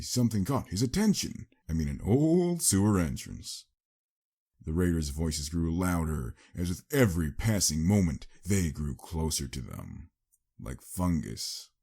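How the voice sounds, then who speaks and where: A man's voice: low-pitched, mellow, slightly rough. A man giving a dramatic scripted narration of a story.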